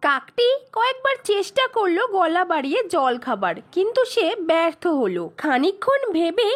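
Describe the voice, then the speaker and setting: A woman narrating scripted moral tales for children in a deliberate, phrase-by-phrase storytelling manner. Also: extremely high pitch, sing-song. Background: music.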